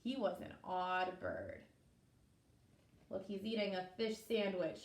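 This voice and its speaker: A woman preschool teacher reading a story aloud to young children, expressively, pausing to explain and ask simple questions. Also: on the low side, huge pitch swings. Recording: echoey room.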